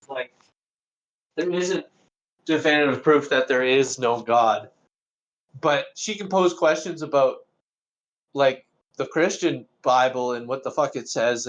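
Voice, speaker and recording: A man in a casual reaction chat, blunt and profane, rambling with lots of "like.". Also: mid-range voice. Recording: dull lo-fi voice call.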